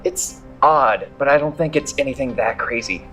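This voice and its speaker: A woman's voice: a bass voice, lively intonation, moderate pace, fairly bright tone, rough recording. A woman acting a sober, level-headed investigator in an audio drama trailer. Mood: measured, skeptical. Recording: music under the voice.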